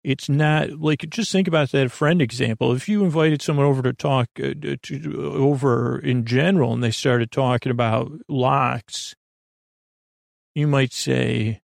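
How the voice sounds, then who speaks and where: A man with a creaky voice hosting a bedtime podcast in a gentle, meandering conversational way, reassuring and often interrupting himself with tangents. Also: medium pitch, unhurried, no room sound, clean studio sound. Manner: meandering, hesitant, full of tangents and self-interruptions. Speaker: podcast host.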